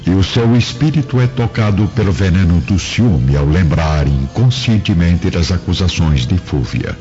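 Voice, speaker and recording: A man's voice: deep voice, animated, normal speed. A man narrating a radio drama in a dramatic, scripted storytelling style. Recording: muffled recording with music bed.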